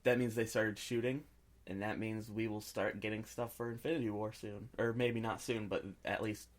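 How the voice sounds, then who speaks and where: A man's voice: on the low side, flat delivery, moderate pace. A man co-hosting a podcast, chatting casually about pop culture and giving his opinions freely. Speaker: podcast co-host.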